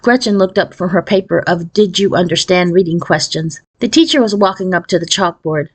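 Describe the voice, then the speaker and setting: A woman reading a children's novel aloud as a storyteller, in an expressive scripted narration style. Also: lowish voice, a little fast, breathy edge.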